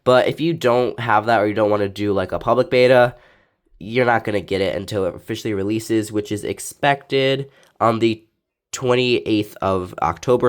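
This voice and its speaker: A young man with a raspy voice from allergies, reviewing a phone casually with lots of fillers. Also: lowish voice. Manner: rambling, filler-heavy, laid-back. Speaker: tech reviewer.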